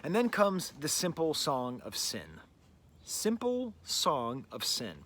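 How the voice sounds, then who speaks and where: A man's voice: a little high, wildly swooping pitch, takes it slowly, a bit bright. A middle-aged man hosting a casual album review, talking in a rambling, chatty way full of "like" and "you know", outdoors with birds nearby. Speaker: music reviewer, show host.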